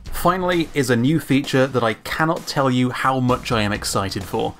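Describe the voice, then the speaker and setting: A man giving scripted analytical commentary as a video narrator, in an informal, measured, opinionated way. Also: mid-range voice, a bit bright. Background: background music.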